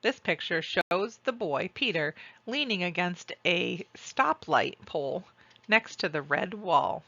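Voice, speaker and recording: A woman reading a children's storybook aloud and describing its pictures, with deliberate, expressive phrasing. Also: fairly low pitch, creaky, no room sound, lo-fi sound. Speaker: read-aloud narrator.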